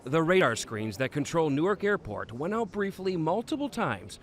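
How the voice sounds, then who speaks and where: A man's voice: fairly high pitch, very animated, moderate pace, very noisy. A man reading scripted investigative news narration as a television reporter.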